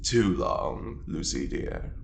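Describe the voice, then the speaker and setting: A woman's voice: takes it slowly, lightly acted. A woman playing a charming, wry older prisoner in an audio drama, a theatrical rebel who teases and drawls. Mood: wry, teasing.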